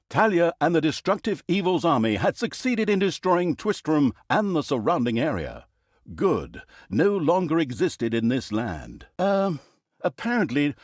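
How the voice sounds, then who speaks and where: A man's voice: mid-range voice, darkish tone, slightly rough, no echo, studio quality. A man playing a snarky, self-aware storyteller in a fantasy video game, narrating with pompous, mock-grandiose flourish and wry asides.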